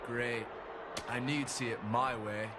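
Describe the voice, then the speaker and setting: An actor playing a cocky, defiant rookie wrestling champion in a video game, with a casual, smug, dismissive manner. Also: male voice, mid-range voice, fairly even intonation, measured pace, very noisy, close to an everyday voice. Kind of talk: video game cutscene acting.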